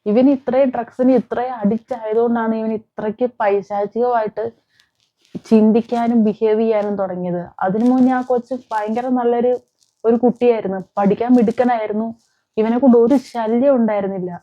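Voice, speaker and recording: A woman's voice: a little high, fairly even intonation, brisk, dull audio. A woman giving emphatic, opinionated commentary on crime as a vlog host, repeating phrases and posing rhetorical questions. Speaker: vlogger, host.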